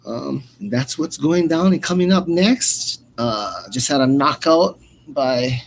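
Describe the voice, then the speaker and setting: A man's voice: medium pitch, lively intonation, slow, slight background noise, low-quality recording. Male radio host for live fight play-by-play, informal and slangy, rambling between announcements. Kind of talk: loose, casual live fight commentary.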